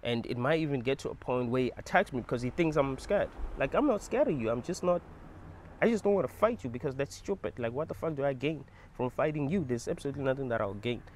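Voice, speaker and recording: A young man, telling a personal story to camera in an animated, emphatic way and swearing freely. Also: mid-range voice, fast talker, slight background noise. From South Africa. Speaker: vlogger.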